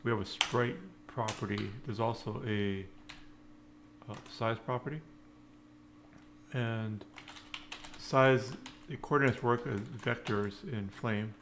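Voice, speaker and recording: A man giving a casual coding screencast, thinking aloud in halting, unscripted phrases. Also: on the low side, steady tone, noisy background. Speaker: programming tutorial presenter.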